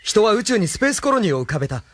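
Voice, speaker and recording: Husky, earnest young idealist. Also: male voice, sits high, very animated, rapid-fire, fairly bright tone, heavily acted. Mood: earnest, questioning. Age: young adult. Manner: earnest, questioning. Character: earnest, defiant young male idealist hero. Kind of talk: audio drama acting.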